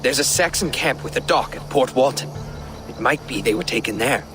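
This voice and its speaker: An actor playing a proud, loyal Viking jarl in a video game, speaking in a solemn, formal manner. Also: male voice, medium pitch, lively intonation, a little fast, loud music behind the voice, lightly acted. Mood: bitter, grave.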